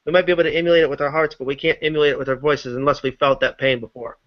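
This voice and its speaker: A man's voice: on the high side, little pitch movement, rapid-fire. A man talking earnestly in a casual group discussion, repeating his point. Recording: dull, muffled audio.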